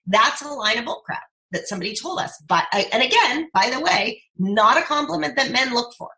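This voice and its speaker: A woman's voice: a little high, animated, brisk, pushed and bright, low-quality recording. A woman in her fifties, a speaker and brand coach, talking in an emphatic, persuasive way as an interview guest. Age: 50s. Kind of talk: podcast interview conversation.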